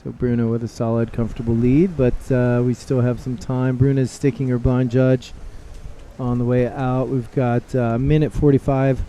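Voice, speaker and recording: A man doing live sports commentary, chatting and joking with his co-host in a relaxed way. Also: medium pitch, normal speed, a little hiss or hum.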